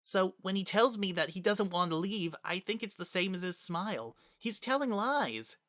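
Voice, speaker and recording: A woman playing an earnest, anxious, kind young boy who speaks plainly and haltingly in a dramatic reading. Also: mid-range voice, expressive, fairly bright tone, dry recording, studio quality, lightly acted. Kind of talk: dramatic reading of fan fiction.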